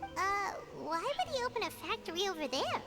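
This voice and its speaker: A woman voicing a small, excitable, skittish childlike fairy companion in a video game, who speaks of herself in the third person. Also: moderate pace, full character voice. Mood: wary, hesitant. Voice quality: squeaky, childlike. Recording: game music behind the voice.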